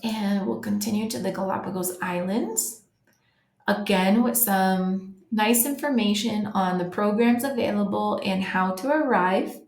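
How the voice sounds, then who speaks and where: A woman's voice: medium pitch, unhurried, darkish tone. A woman from the United States giving a friendly, informal webinar presentation to travel agents, with frequent ums and restarts. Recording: roomy echo.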